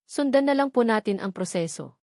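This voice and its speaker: A woman's voice: a little high, lively intonation, a little fast, a little mellow, no room sound, clean studio sound. Woman playing a tired, emotionless nurse. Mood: weary, indifferent. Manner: mechanical, emotionless. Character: tired, indifferent female hospital nurse.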